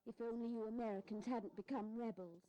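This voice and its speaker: A woman's voice: fairly high pitch, fairly even intonation, brisk, warm tone, dull audio, lightly acted. An actress playing a flirtatious, haughty English society lady in a historical audio drama, playful, airy and disdainful. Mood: bored disdain.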